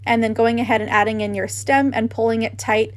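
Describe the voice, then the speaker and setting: A woman's voice: on the high side, steady tone, a little fast, soft background music. A woman hosting a craft tutorial, narrating casually with gushing enthusiasm.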